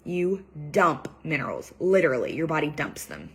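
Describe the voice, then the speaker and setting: A woman coach speaks in a lowish, husky voice, worn down by a cold that is taking her voice. She talks loosely and chattily to her clients, with plenty of ums and likes. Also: sing-song, normal speed. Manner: chatty, loose, many fillers and "like"s. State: has a cold, losing her voice. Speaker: fitness and nutrition coach. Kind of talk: casual live training talk.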